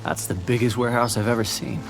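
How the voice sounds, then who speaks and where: An actor playing a cautious, deferential, inquisitive junior officer in an audio drama. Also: male voice, medium pitch, steady tone, fast, over music, close to an everyday voice, poor audio. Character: cautious, dutiful, questioning male subordinate officer.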